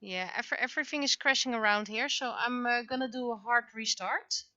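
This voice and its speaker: A woman livestreamer chatting casually and haltingly, muttering to herself as she thinks aloud, on dull lo-fi audio. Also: a little high, pushed and bright, no room sound. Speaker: livestreamer, webcomic artist.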